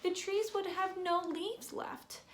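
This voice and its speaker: Slow, high-voiced woman reading a children's storybook. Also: fairly even intonation. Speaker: read-aloud presenter. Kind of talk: expressive children's storybook read-aloud. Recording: echoey room with faint noise.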